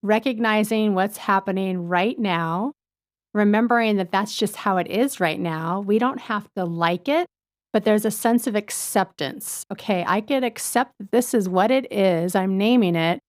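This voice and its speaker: A woman in her fifties co-hosting a podcast, talking things through thoughtfully and often pausing to search for words. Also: medium pitch, dry recording, clean studio sound. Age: 50s. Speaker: podcast co-host. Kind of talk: reflective casual chat.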